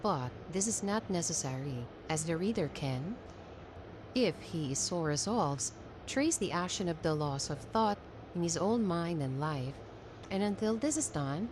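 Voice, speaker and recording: A woman reading an old-fashioned essay aloud as narration, in formal, measured phrasing. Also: lowish voice, animated, background noise. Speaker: reader of a self-help text.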